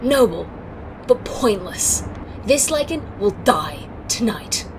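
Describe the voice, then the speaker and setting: Woman playing a haughty, slow-spoken villainess. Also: on the high side, big pitch swings, slight background noise, full character voice, poor audio. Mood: cold, disdainful. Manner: haughty, measured. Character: haughty, cold female villain leader, pitiless. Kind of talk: audio drama acting.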